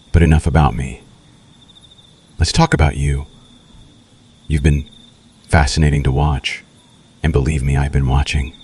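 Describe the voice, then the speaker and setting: A man playing a seductive, menacing vampire in an ASMR roleplay, speaking slowly and intimately with a possessive, darkly romantic air. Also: full character voice. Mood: seductive menace. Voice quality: very deep, husky, breathy. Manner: slow, intimate, softly menacing, teasing.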